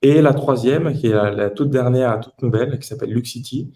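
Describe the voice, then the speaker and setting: A man's voice: medium pitch, a little fast, warm tone. A man in his late twenties from southern France, giving conversational answers as an entrepreneur guest on a podcast. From Toulouse, southern France.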